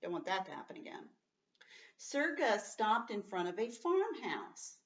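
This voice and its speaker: A woman teaching young children, reading aloud expressively and explaining simply and patiently, slowing right down to stress words. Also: medium pitch, distant mic, lo-fi sound. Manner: expressive, emphatic, slows right down on story words.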